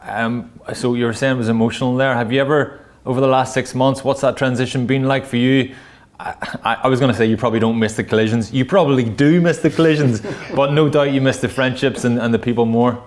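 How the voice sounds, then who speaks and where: A man's voice: medium pitch, fairly even intonation, fast talker. A man hosts an awards interview with an easy, jokey banter. He speaks in a mid-range voice while he sets up questions for his guest. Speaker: awards host.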